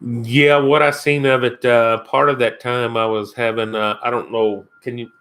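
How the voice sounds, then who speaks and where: A man's voice: mid-range voice, little pitch movement, normal speed, fairly bright tone. A man from Kentucky with a Kentucky accent, hosting his first live stream and chatting casually with viewers, rambling and chuckling as he answers comments. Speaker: outdoors channel host.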